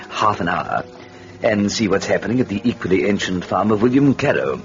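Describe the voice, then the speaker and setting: A man playing a mocking, droll devilish storyteller in a radio horror drama, with chuckling sardonic asides. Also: sits low. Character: gleefully sinister, mocking male devil storyteller. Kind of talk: theatrical radio narration. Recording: muffled old radio recording with music.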